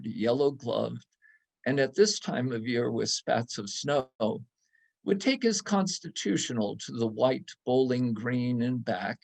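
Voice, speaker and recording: A man giving a dramatic literary reading of a nostalgic story, savouring each descriptive phrase in a deliberate manner. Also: mid-range voice. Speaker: reader in a readers theatre.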